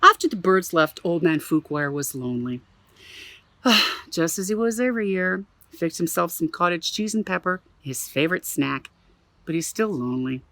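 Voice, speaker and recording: A woman reading a picture book aloud to children as a museum storyteller, animated and chatty, with little asides. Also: fairly low pitch, normal speed, dry recording.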